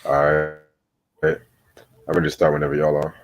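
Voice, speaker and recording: This man has a very low, deep voice. He talks like the host of a loose music review among friends, informal and slangy. Swearing comes freely as part of how he speaks. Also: completely flat delivery, moderate pace. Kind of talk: casual profane chat.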